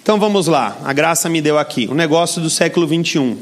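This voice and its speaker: A middle-aged Brazilian man speaking at a business seminar in a large hall, energetic and persuasive, talking straight to the audience. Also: a little high, fast. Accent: Brazilian Portuguese. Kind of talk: motivational seminar talk.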